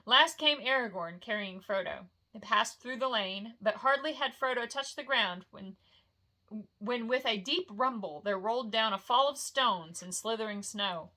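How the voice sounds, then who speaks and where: Female storyteller: bright voice, expressive fantasy narration, homely and informal, like a bedtime read-aloud. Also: fairly high pitch, normal speed. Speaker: storyteller reading a novel aloud.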